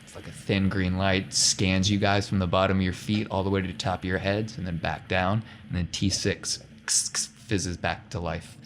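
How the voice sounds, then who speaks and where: A low-pitched man runs a tabletop roleplaying game as game master. He narrates and rules casually, with light noise behind his voice. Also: little pitch movement, moderate pace, few pauses. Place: tabletop podcast recording.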